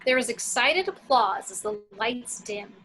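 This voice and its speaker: Bright, husky, high-voiced woman narrator. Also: expressive, unhurried, rough recording. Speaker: narrator reading action descriptions. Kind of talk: scripted narration at an amateur screenplay table read.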